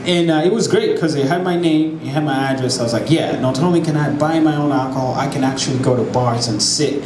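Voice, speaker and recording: A man's voice: on the high side, quick pace. A young man from Uganda with a Ugandan accent performing a playful stand-up set and chatting with the crowd. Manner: playful, crowd work, acts out voices in his stories. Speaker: stand-up comedian. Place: brewery comedy show before a live audience. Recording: echoey brewery room with crowd ambience.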